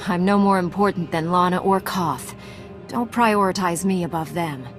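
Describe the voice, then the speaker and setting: A woman playing a calm, selfless heroic knight in a video game, speaking briefly, firmly and with composure. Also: on the low side, normal speed, faint music behind, natural voice. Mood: modest, firm. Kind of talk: scripted video game character acting.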